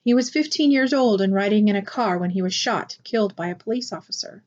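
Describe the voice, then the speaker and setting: Storybook role: steady, caring dad read by a woman, serious and gentle, dull lo-fi sound. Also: mid-range voice, fairly quick, close to an everyday voice. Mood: sad, gentle. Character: calm, grave, caring father. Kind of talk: picture book read aloud.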